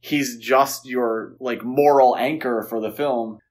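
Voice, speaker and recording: Guy co-hosting a podcast, average pitch, just casually talking films. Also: steady tone, slow pace. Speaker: podcast co-host. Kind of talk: casual film discussion.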